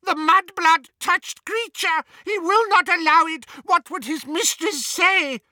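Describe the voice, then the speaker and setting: High-pitched, sobbing old male house-elf. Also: a little slow, bright, forceful tone, dry recording, clean studio sound, full character voice. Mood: outraged, repulsed. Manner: refers to self in third person, moaning. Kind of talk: audiobook character acting.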